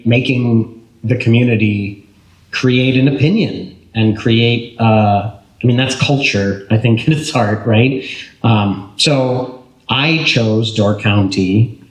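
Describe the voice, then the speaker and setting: A man speaking as an actor on a panel, thoughtful and searching for his words, with light laughs. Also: fairly low pitch. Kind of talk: reflective panel talk. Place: public panel event room. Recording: roomy echo.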